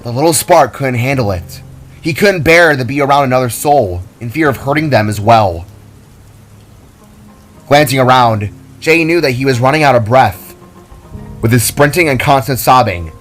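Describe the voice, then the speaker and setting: A man's voice: mid-range voice, expressive, moderate pace. A man giving a dramatic scripted narration of a fantasy story, as its narrator. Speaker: author and narrator. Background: soft music.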